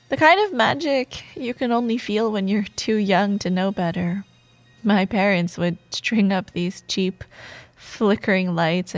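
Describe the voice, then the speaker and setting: A woman playing a sardonic, secretly soft female office rival in a romantic audio roleplay, teasing and expressive, with dramatic pauses. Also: mid-range voice, dry recording, close to an everyday voice. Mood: wistful, nostalgic.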